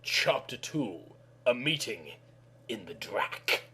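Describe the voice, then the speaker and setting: A man's voice: fairly low pitch, very animated, takes it slowly, sharp tone, slightly rough. A man narrating a storybook tale in a naive, childlike manner, with mangled words.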